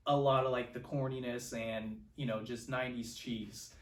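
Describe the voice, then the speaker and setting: A man's voice: mid-range voice, steady tone, normal speed, recorded from a distance. A man co-hosting a podcast, giving rambling, casual opinions on films. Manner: rambling, peppered with "like" and "you know". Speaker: podcast co-host. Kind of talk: casual film discussion. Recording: echoey room, off-mic, faint noise.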